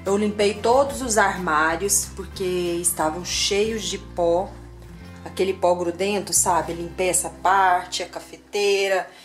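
A woman with a Brazilian Portuguese accent talks straight to her viewers in a relaxed, chatty way as she tidies up. Her voice sits at an everyday middle pitch. It is recorded on a handheld camera that moves around her home kitchen. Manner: chatty, addresses viewers directly, talks while cleaning. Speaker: vlogger. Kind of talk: casual home vlog narration. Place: home kitchen, indoors, medium-sized. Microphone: handheld camera, moved around the room.

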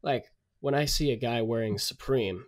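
A man's voice: medium pitch, moderate pace. A man co-hosting a podcast, speaking in casual discussion and arguing his opinions with repeated words. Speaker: podcast co-host.

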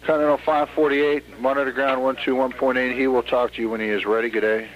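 A man working as an air traffic controller, giving clipped, procedural clearances over radio in a businesslike, polite way. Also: medium pitch, little pitch movement, fast talker. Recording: noisy narrowband radio channel with static clicks.

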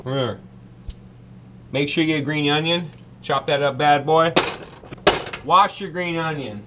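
A man's voice: fairly high pitch, unhurried, heavy background noise. A young man in his twenties, brash and boastful, hosting a comedic cooking show from a small kitchen. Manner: brash, boastful, loud whoops.

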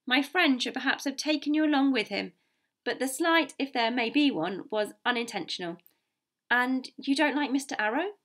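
A woman voicing a composed, shrewd gentleman doctor in an audiobook, speaking in a measured, courteous, articulate way. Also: high voice, expressive. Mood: courteous, probing. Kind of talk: audiobook character voice.

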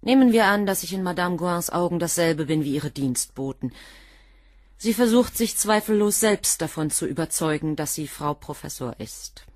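An actress playing a cool, devoted assistant to a professor in a radio drama, answering questions in a guarded, curt way. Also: female voice, lowish voice, animated, moderate pace, darkish tone, dry recording, clean studio sound. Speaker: witness under questioning.

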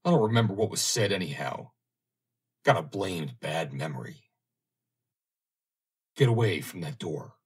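A man's voice: sits low, expressive, unhurried, pauses often, breathy edge, full character voice. A man reading an audiobook, voicing a blunt, plain-spoken middle-aged sea captain. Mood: gruff.

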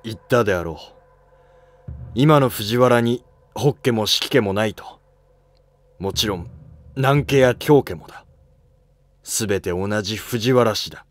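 A man voicing a dying elderly statesman and father in a historical drama, speaking gravely and formally, weary but principled. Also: on the low side, unhurried, long pauses, dry recording, close to an everyday voice. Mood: firm. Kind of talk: amateur historical drama dialogue.

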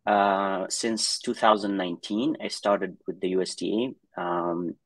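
Hesitant low-voiced man from Syria, dry sound. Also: steady tone, a little slow, no room sound, rough recording. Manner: hesitant, lots of uh and um, restarts. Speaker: guest scientist. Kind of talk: interview answers. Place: home room with bookshelves, indoors. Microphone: video call.

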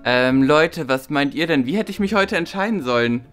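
A man's voice: a little high, lively intonation, moderate pace, a bit bright, clear, resonant tone, soft background music. A young man playing a wavering, exasperated roommate and peacemaker in a web drama, hesitant and colloquial. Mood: questioning. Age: young adult. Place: living room indoors.

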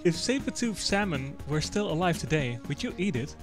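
A high-voiced man reads out scripted questions with lively, swinging intonation. Faint music plays behind him. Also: moderate pace. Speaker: reader of viewer questions. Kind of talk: scripted reading of short questions. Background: music under the voice.

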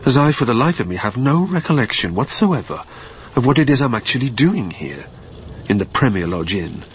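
A man's voice: medium pitch, sing-song, fairly quick, a little hiss or hum, close to an everyday voice. An actor playing a weary, anxious hotel guest who narrates his own ordeal in wry, literary phrases for a radio drama. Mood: uneasy, breathless narration. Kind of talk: dramatic first-person narration.

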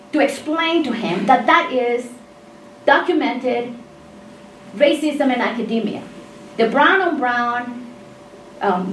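A woman in her fifties from Mexico speaks up from the audience of a seminar, emphatic and insistent, driving her points home. Her voice sits a little high and reaches the camera from a distance. The room gives it a roomy echo with a faint hiss behind. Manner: emphatic, insistent, gestures while talking. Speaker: engineering faculty member in audience. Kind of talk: impassioned audience comment in discussion. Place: seminar room with tables, indoors. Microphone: far room camera mic.

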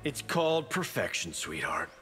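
An actor voicing a cocky, flirtatious movie-star martial artist in a video game, swaggering and slangy. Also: male voice, fairly low pitch, very animated, normal speed, pushed and bright, faint music behind. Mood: cocky flirting. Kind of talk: video game fight-intro banter.

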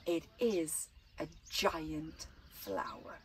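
Woman, middle-aged, slow children's story reading, expressive pauses, open-air recording, light bird chirps behind. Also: sits low, a little hiss or hum. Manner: deliberate, dramatic pauses between short phrases. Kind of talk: expressive picture-book read-aloud for children. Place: outdoors in an open gazebo at a flower farm. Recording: outdoor recording with birdsong behind. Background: birds chirping.

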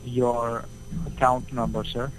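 A man's voice: medium pitch, flat delivery, slow pace, low-quality recording. Formal support agent man with faint line hiss. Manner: formal, repetitive, polite. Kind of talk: customer service call.

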